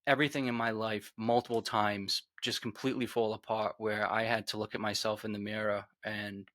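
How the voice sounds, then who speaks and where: A man's voice: fairly low pitch, level tone, brisk. A man in his forties with a Rhode Island accent, earnestly telling his personal story as a podcast guest. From Rhode Island, USA. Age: 40s. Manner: earnest, reflective, trails off quietly.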